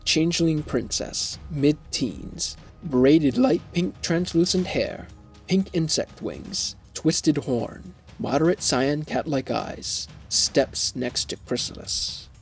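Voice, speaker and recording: A man's voice: a little high, expressive, slow pace, vocal fry, soft background music. A man reading scripted scene descriptions as the narrator of an audio drama.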